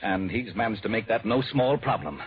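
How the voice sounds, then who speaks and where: An actor playing a fussy, anxious insurance manager in an old-time radio drama, speaking in a formal, exasperated way. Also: male voice, lowish voice, lively intonation, brisk, close to an everyday voice. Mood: exasperated, grave. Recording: dull vintage radio recording.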